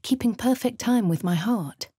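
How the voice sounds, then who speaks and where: An actress with a posh English accent voicing a composed female adventurer, reading game narration and voice lines in a crisp, dry manner. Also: a little high, expressive, moderate pace, dark tone, dry recording. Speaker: voice of the player character.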